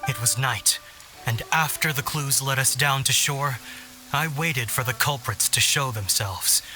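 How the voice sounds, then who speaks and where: An actor playing a dignified samurai elder confessing a long-held secret in an anime game flashback, grave, deliberate and formal. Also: male voice, medium pitch, sharp tone, soft background music. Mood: grave, recounting.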